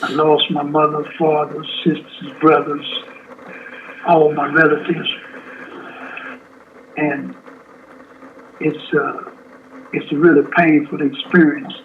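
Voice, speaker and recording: A man in his seventies, in prison, speaking slowly and haltingly in an interview, with many restarts. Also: on the high side, little pitch movement. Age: 70s. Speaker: incarcerated interviewee. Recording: tinny phone line with faint hiss.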